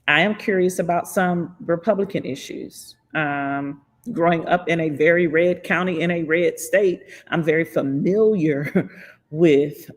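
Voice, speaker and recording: A livestream host from Florida talks through viewer comments in a casual political chat. The voice sits at a middle pitch with a gravelly, creaky edge. The speaker laughs often and slows right down to press key points.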